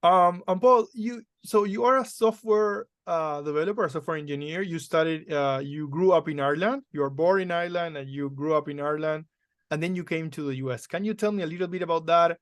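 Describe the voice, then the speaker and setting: Higher-voiced host, dry close sound. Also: male voice, a little fast, no room sound. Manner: hesitant, fillers, careful phrasing. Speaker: podcast host. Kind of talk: interview questions.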